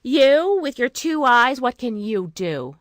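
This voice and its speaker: Lady doing a bossy jealous big sister from a fairy tale, mocking, swoopy and forceful. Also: a little high, sing-song, takes it slowly, no echo, studio quality, pitched above the performer's own voice. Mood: scornful. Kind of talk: storybook character voice.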